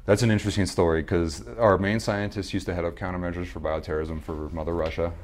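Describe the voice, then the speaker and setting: A man with a very deep, slightly hoarse and smooth voice talks quickly as a podcast guest. He rambles through stories with restarts and fillers in relaxed conversation. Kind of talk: casual interview chat.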